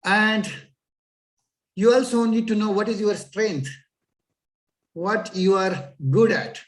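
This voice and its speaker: A man's voice: high voice, takes it slowly, recorded from a distance. A middle-aged Indian man, a native Marathi speaker, giving an earnest advice-filled lecture with many fillers. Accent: Indian English. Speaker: guest lecturer. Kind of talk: lecture on a video call.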